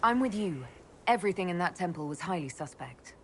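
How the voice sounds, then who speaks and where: Composed elf leader, lively inflection. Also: female voice, lowish voice, brisk, slight background noise. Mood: wry, agreeable. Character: composed young female elf mage, leader of an order. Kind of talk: video game character acting.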